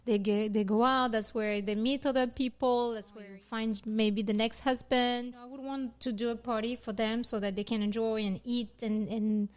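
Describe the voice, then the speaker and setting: A woman's voice: fairly high pitch, steady tone, moderate pace, few pauses, clean tone. A woman from Morocco co-hosting a casual podcast chat, laughing often and restarting her sentences. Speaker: podcast co-host.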